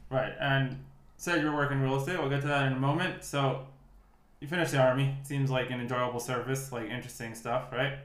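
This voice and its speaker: A man with an American accent hosting a podcast interview, asking short, relaxed follow-up questions. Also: medium pitch, fairly quick. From United States. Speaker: podcast host. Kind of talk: casual interview. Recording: echoey room.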